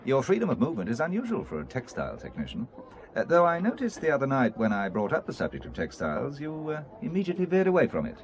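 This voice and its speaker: An actor playing a wary, quick-witted businessman turned spy in an audio drama, with a dry, sardonic manner. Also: medium pitch, huge pitch swings, rough recording. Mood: probing. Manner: dry, sardonic, hesitant when probing.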